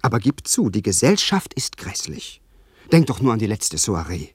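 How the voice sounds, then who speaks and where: An actor playing a young, smitten upper-class Englishman who grumbles about society in a German radio drama, effusive and theatrical, with breathy asides. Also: fairly low pitch, very animated, moderate pace, dry recording, clean studio sound. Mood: peevish, mocking.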